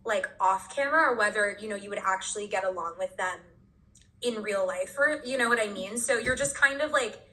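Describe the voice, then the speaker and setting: Young woman guest, bright and sharp tone, easygoing, full of fillers. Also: medium pitch, brisk, poor audio. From Washington State and Connecticut. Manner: relaxed, filler-heavy, thoughtful. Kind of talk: casual chat.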